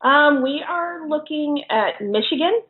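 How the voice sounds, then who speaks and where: A woman's voice: sits high, animated, unhurried. A woman chatting casually as an interview guest, often laughing as she talks. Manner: giggly, laughs often while talking. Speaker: interview guest, horror filmmaker. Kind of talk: casual chat. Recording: thin, narrow phone-like line.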